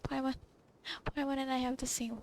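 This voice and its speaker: A woman's voice: high-pitched, flat delivery, fairly quick, noisy background. A woman giving casual gameplay commentary, reacting in short remarks as she plays. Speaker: gamer playing and reacting. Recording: game music behind the voice.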